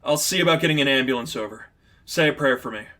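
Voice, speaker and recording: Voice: mid-range voice, quick pace, background noise, lightly acted. A man voicing a young, wisecracking superheroine with a casual, emphatic manner in a story reading. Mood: concerned, casual.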